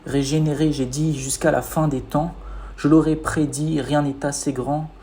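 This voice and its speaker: Man reciting spoken-word poetry, soft dark tone. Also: fairly high pitch, little pitch movement, normal speed, a little hiss or hum. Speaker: poet reciting own poem.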